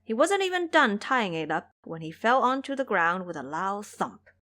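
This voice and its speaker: A woman narrating an audio drama in an expressive storytelling style. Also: medium pitch, normal speed.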